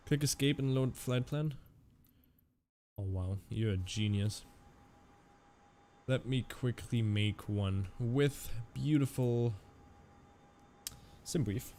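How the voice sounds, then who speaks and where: A man's voice: mid-range voice, slow, pauses often, warm tone, a little hiss or hum. A young German man with a Canadian-German accent, chatting casually on a flight sim stream and answering his viewers. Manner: chatty, reads chat aloud and answers viewers. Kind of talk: casual live-stream commentary.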